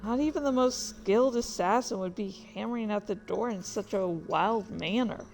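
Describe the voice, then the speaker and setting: A woman's voice: medium pitch, moderate pace, darkish tone, soft background music. A woman reading their own fan fiction aloud as a streamer, moving between story narration and casual asides.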